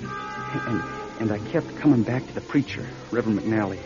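An actor playing a scared, suspicious young man in a radio drama, speaking in an anxious, halting stammer. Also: on the low side, expressive, natural voice. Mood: dread, halting recollection. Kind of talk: audio drama acting, first-person narration and dialogue. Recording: dull old radio drama, music behind.

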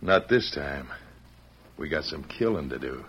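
An actor playing a gruff, scheming saloon boss in an old radio western, with blunt, slangy tough talk. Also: male voice, rumbling low, animated, unhurried, a bit bright, heavily acted. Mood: brisk, commanding. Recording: muffled old radio recording, background noise.

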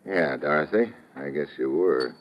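An actor playing a wry, tough American government agent with an American accent, deadpan and wisecracking in hardboiled old radio drama style. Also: male voice, extremely low pitch, normal speed, very noisy. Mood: guarded. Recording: dull old radio recording, music behind.

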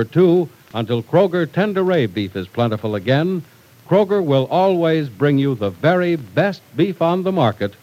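A man's voice: mid-range voice, a little mellow, rough recording. A man announcing on 1940s radio, reading scripted sponsor copy and story narration in an old broadcast recording.

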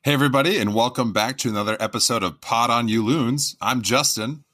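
A man hosting a casual podcast over an internet call, energetic and quick to jump to new topics. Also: mid-range voice, over music. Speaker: podcast host. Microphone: remote internet call.

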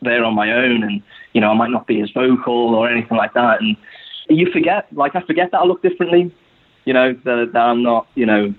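A man's voice: mid-range voice, fairly quick. A young adult man with a Scouse accent from Liverpool, talking casually as a guest and rambling with lots of "you know" fillers. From Liverpool, England. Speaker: guest supporter. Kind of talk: casual podcast conversation. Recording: tinny phone-like line.

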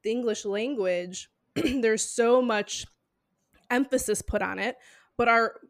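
A woman's voice: on the high side, takes it slowly. A woman hosting a podcast, asking questions in a thoughtful, loosely phrased way with many fillers. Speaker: podcast host. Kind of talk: conversational interview.

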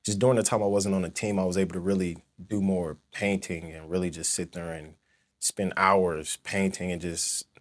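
A young man from St. Louis with a very deep voice speaks as an interview guest. He rambles easily and fills his pauses with "you know" and "like." His talk stays relaxed and conversational. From St. Louis, Missouri. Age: young adult. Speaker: guest, former football player.

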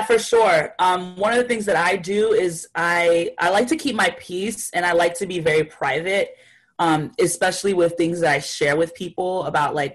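A woman's voice: lowish voice, rough recording. A young woman from Haiti, a guest artist talking casually and thoughtfully in an interview, with many fillers.

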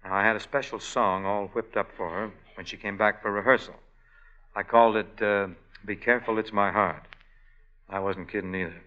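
An actor playing a lovestruck male innkeeper and crooner, talking in a fast, relaxed way in a 1940s radio drama. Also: on the low side, natural voice. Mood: breezy. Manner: relaxed, fast conversational storytelling. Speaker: lead actor. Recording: dull lo-fi 1940s radio recording. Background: orchestra music.

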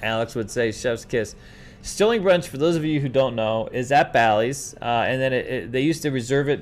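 A middle-aged man from New York City, chatting casually as a host and rambling through stories while calling everyone dude. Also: medium pitch. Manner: rambling, animated, says "dude" often. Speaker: host answering viewer questions. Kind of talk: casual livestream chat.

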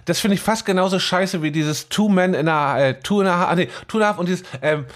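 A man with a slightly high voice co-hosts a casual podcast. He rambles through his opinions bluntly and often stutters into his words before finishing the thought. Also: quick pace. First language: German. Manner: rambling, stuttering over word starts, blunt. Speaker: podcast co-host.

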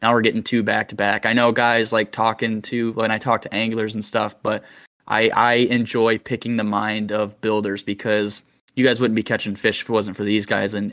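A man's voice: on the low side, fairly bright tone. A man hosting a fishing podcast, talking casually and eagerly with his guest, often saying "dude". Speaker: podcast host.

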